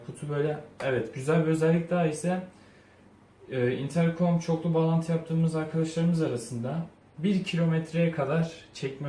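A man with a dark, relaxed voice talks through an unboxing informally, thinking out loud as he goes. The room echoes around him, and there is a faint layer of noise. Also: on the high side. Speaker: product reviewer. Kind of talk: casual unboxing review. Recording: roomy echo, faint background noise.

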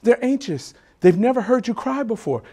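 A man's voice: very high voice, very animated, normal speed, darkish tone, voice pushed up, heavily acted. A man voicing a naive, fearless, nosy monkey in a folktale, asking in an earnest, innocent way.

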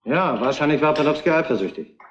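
An actor playing a testy, blunt witness in a retro crime radio play, with curt colloquial delivery. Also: male voice, fairly high pitch, expressive, quick pace, highs cut off, natural voice. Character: testy, blunt male witness in a murder inquiry. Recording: roomy echo, faint noise.

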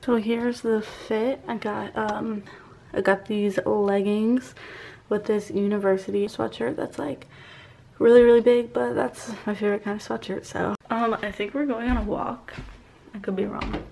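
A teenage girl vlogging casually into a phone held at arm's length, chatty with lots of fillers, often speaking softly. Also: medium pitch, fairly even intonation, moderate pace, creaky, a little hiss or hum. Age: teens. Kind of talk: casual vlog chat. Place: home, car and outdoors.

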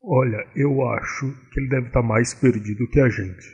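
A man's voice: mid-range voice, steady tone, normal speed, darkish tone, slightly rough, dull audio. A man playing a sceptical, sarcastic frog brother in a cartoon comedy, with a dry, conversational delivery.

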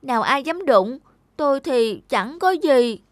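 A woman's voice: high-pitched, animated, a little slow, no room sound. A woman voicing a small, timid and envious rabbit in a children's cartoon, whiny and full of exclamations. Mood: envious, plaintive. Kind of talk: animated children's fairy tale acting.

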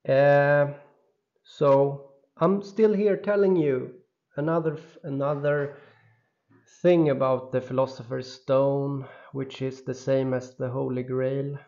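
A man from Sweden with a Swedish accent, speaking in a halting, insistent voice-over monologue full of restarts. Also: medium pitch, steady tone, a little mellow, highs cut off. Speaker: video creator.

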